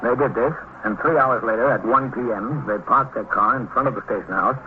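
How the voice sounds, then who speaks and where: An actor playing a sober American police captain, narrating a radio drama case in a measured, factual, official manner. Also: male voice, mid-range voice, lightly acted. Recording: tinny, noisy old radio recording.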